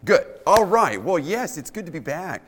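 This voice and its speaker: A man's voice: sits high, sing-song, moderate pace, fairly bright tone. Bold-voiced male preacher in a reverberant hall. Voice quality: big, bold voice. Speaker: guest preacher, youth camp director. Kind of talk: sermon to a church congregation. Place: indoors in a church, speaking into a microphone.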